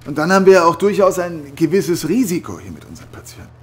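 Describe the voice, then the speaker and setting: An actor playing an affable but evasive psychiatric night nurse in a horror radio drama, overly reassuring and hesitant. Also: male voice, on the high side, big pitch swings, fast talker. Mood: chatty.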